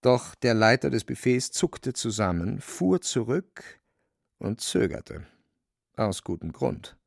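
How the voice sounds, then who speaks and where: A man narrating a literary radio drama in a measured, wry storytelling manner. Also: medium pitch, big pitch swings, creaky, slightly rough, no echo, studio quality.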